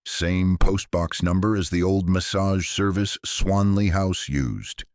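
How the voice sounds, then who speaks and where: A man's voice: sits low, slow pace, darkish tone, no echo, studio quality. A man voicing a dutiful police sergeant in a mystery story, plain and factual in delivery. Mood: matter-of-fact. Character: dutiful, matter-of-fact male police sergeant.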